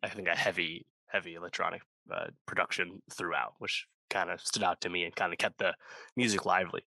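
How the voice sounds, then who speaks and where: A man's voice: sits low, fairly even intonation, a little fast, gravelly, sharp tone, dry recording, low-quality recording. A man co-hosting a music review podcast, talking casually and rambling with lots of fillers and restarts. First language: English speaker, not Korean. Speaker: podcast co-host.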